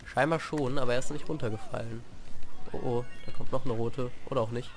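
A young man with a cold, chatting through gameplay as a let's play host, with sudden shouted outbursts, recorded on a sofa at home. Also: mid-range voice, fairly even intonation, a little fast. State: has a cold, clears throat. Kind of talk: gameplay commentary. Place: living room at home, on a sofa. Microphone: desk mic propped on games on the sofa. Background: faint game music.

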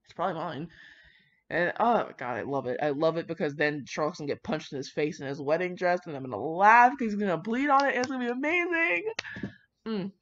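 Casual female reader, fairly low voice, brash and digressive, slightly dull home recording. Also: lively intonation, brisk, a bit bright. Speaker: fan fiction reader. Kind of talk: casual read-aloud with running commentary.

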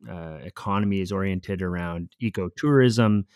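Man in his thirties, low voice, casual, fillers, dry studio mic. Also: moderate pace, no echo. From Costa Rica. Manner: relaxed, loose, with frequent fillers and "you know". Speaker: podcast co-host, professor. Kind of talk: casual conversational podcast. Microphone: studio microphone with headphones.

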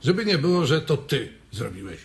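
An actor playing a blunt, callous ranch hand in a radio drama, pushy and insistent. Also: male voice, a little high, big pitch swings, a little fast, vocal fry.

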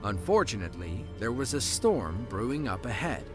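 A man narrating a scripted documentary in a lively storytelling way with dry asides. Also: medium pitch, normal speed. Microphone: voice-over, studio mic. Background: soft background music.

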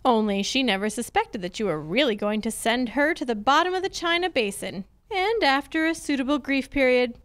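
Woman acting a wry lady detective, higher voice. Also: animated, a little fast, few pauses, fairly bright tone. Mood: wry, cool. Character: wry, confident female private detective, Candy Matson. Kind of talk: old-time radio drama acting, read from script. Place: on a stage, live performance. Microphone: handheld-style microphone on a stand.

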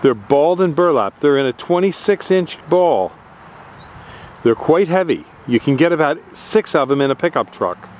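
A man's voice: on the high side, lively intonation, moderate pace, darkish tone, slight background noise. A man who runs a tree farm, talking plainly and folksily about a trade offer, with some fillers. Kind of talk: casual promo talk.

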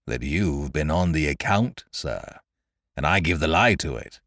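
A man voicing a gruff old boatswain who talks in a rough rustic seafaring dialect. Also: a bass voice, animated, unhurried, a bit bright, no echo, clean studio sound, exaggerated voice. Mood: wary, confiding.

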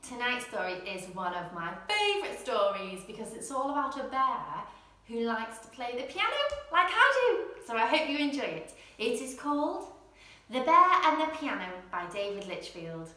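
A young woman presenting and reading a children's storybook in an expressive, gentle bedtime style. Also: a little high, moderate pace. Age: young adult. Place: indoor room at home, seated in armchair. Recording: very echoey room.

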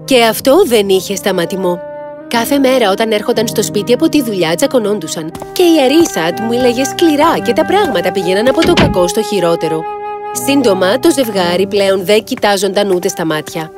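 Expressive woman storyteller over music. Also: medium pitch. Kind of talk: scripted children's fairy-tale narration.

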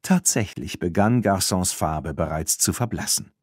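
Low-voiced man narrating a children's audiobook. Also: animated, unhurried, darkish tone, husky, no echo, studio quality.

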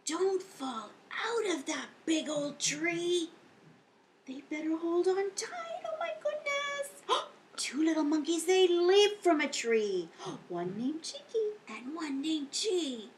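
High, animated woman reading to young children. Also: slow, slight background noise. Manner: animated, exaggerated, with audible gasps and hushed whispers. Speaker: children's librarian, storytime host. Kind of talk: expressive read-aloud storytime for young children. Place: indoor lounge, seated on a couch.

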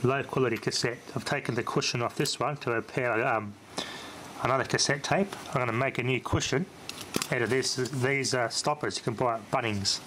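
A man's voice: medium pitch, a little fast, fairly bright tone, a little hiss or hum. A man casually talking through a cassette repair at home, thinking aloud with fillers. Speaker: vintage tape hobbyist. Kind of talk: casual hands-on commentary. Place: home workshop indoors.

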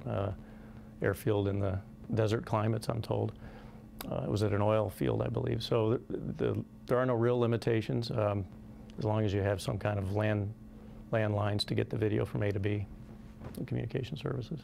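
A man in his forties with an American accent, giving halting, measured explanations in an interview. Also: on the low side, steady tone, gravelly, dark tone. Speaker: company representative being interviewed. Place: small indoor conference room. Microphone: lapel.

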